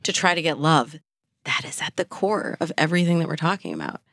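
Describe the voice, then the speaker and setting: Low-voiced American woman giving advice. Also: very animated, a little fast, a bit bright, no room sound, studio quality. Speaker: dating coach, podcast guest. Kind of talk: conversational expert advice.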